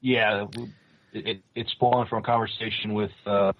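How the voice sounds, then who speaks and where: A man co-hosting a podcast over an internet call, speaking casually and a bit haltingly. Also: lowish voice, flat delivery, no room sound. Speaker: podcast co-host. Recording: tinny phone-like internet call.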